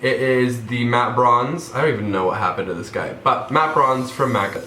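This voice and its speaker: A man's voice: mid-range voice, normal speed, heavy background noise. A young man with a stuffed-up nose from a cold, chatting casually through a makeup tutorial with lots of fillers. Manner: chatty, rambling, many fillers. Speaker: makeup vlogger. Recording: roomy echo.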